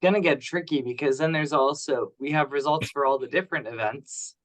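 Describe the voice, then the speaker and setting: A man's voice: on the high side, a little fast, poor audio. A man talking informally as a participant in an online meeting. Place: online conference call.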